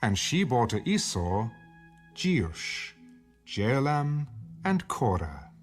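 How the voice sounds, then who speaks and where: A man giving a formal, measured scripted narration of scripture, as in an audio Bible. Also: mid-range voice, sing-song. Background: background music.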